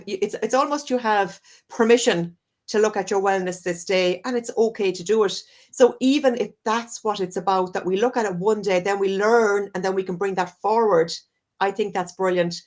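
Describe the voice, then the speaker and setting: An Irish woman, a wellness coach, talking earnestly and at length as a guest on a live-streamed video call interview, often circling back and correcting herself. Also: mid-range voice. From Ireland. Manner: earnest, rambling, self-correcting.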